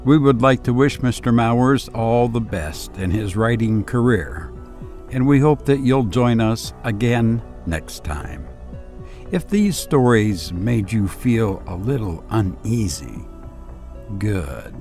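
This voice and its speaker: A man hosting and narrating horror stories in a dramatic, scripted style, with deliberate, suspenseful pauses. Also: mid-range voice, expressive, a little mellow, faint music behind. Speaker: narrator and host of audio story show.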